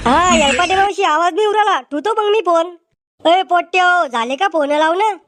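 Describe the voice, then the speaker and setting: Man doing a shrill, blunt cartoon village lad. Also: moderate pace, a bit bright. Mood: blunt, complaining. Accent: Varhadi Marathi. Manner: blunt, mocking, complaining.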